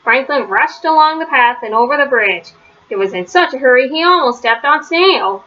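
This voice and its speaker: A woman's voice: sits high, expressive, normal speed, pushed and bright. A woman reading a children's story aloud as a storyteller. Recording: slightly dull, faintly noisy recording.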